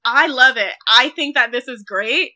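A woman in her thirties co-hosting a podcast, casually discussing films in an expressive, effusive way. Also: high voice, normal speed. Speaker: podcast co-host.

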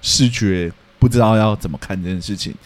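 A man's voice: fairly low pitch, brisk. A man with a Taiwanese accent, co-hosting a podcast in casual discussion. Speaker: podcast co-host.

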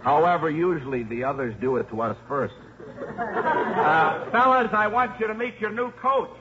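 Actor as genial high-voiced priest, muffled radio. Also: male voice, lively intonation, normal speed, lightly acted. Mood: genial, hopeful. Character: genial, optimistic priest running a small college. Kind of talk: 1950s radio drama acting. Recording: muffled old radio recording, crowd behind.

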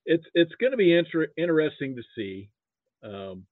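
An American man from Nebraska chatting casually about sports as a guest, haltingly repeating words as he thinks. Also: fairly high pitch, very animated, no room sound. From Nebraska, United States. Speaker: podcast guest, sports fan. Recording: muffled phone-like line.

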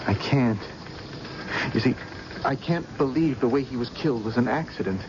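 An actor playing an earnest, grieving young would-be detective in a vintage radio drama. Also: male voice, medium pitch, lively intonation, normal speed, lightly acted. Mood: earnest, troubled. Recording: dull, very noisy old radio recording.

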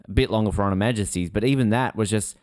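Low-voiced man chatting casually, close and dry. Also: fast talker, a little mellow, no room sound. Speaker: podcast co-host. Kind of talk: casual chat.

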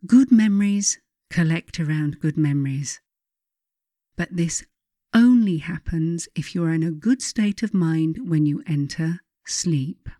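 Mellow woman reading, close and dry. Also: low voice, a little slow, no echo. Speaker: author reading own book aloud. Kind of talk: scripted audiobook narration.

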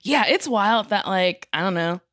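A woman's voice: mid-range voice, expressive, moderate pace, fairly bright tone, no echo, studio quality. A woman co-hosting a casual podcast, chatty, often acting out little quoted asides. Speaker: podcast co-host. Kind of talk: casual chat.

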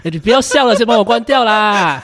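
A man's voice: high-pitched, animated, quick pace, a bit bright. A man with a Malaysian accent playing an earnest, easily flustered math teacher in a Chinese radio drama, stern but caring. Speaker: amateur voice actor.

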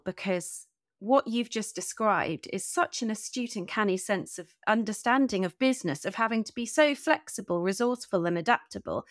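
A woman's voice: on the high side, dry recording, clean studio sound. A woman hosting an interview podcast, reflecting conversationally and emphatically, often piling up words in lists.

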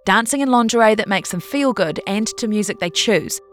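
A woman's voice: medium pitch, expressive, a little fast, soft background music. A woman reading a scripted long-form news feature as narrator.

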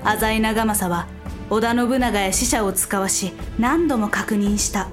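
A woman narrating a Japanese historical drama from a script in a solemn, deliberate storytelling manner. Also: medium pitch, music in the background, natural voice.

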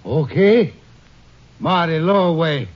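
High-voiced, whining crook. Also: male voice, animated, slow. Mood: defiant. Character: cornered, whining, defiant bank robber. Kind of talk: 1950s radio drama acting. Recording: muffled old radio recording.

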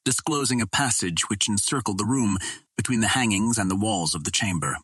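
A man's voice: fairly low pitch, a little fast, fairly bright tone, slightly rough, dry recording. A man reading a pulp fantasy adventure audiobook as scripted narration.

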